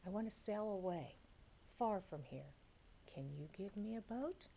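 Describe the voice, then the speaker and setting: A woman's voice: mid-range voice, animated, unhurried, vocal fry, dark tone, slight background noise, pitched above the performer's own voice, low-quality recording. A woman voicing a tired storybook boy grown into an old man, plain and weary, still wanting things. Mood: wistful, wanting.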